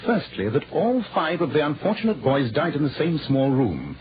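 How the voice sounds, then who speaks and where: A man playing a brisk, commanding English detective with clipped, precise, theatrical diction in a 1940s radio drama. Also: fairly high pitch, sing-song. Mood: urgent, incisive. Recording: muffled, noisy 1940s radio recording.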